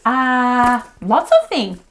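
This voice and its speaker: A woman's voice: a little high, very slow, voice pushed down. A woman voicing a mysterious Swedish woman who answers in short, vague words, with a put-on Swedish accent. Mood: evasive. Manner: clipped, vague one-word replies.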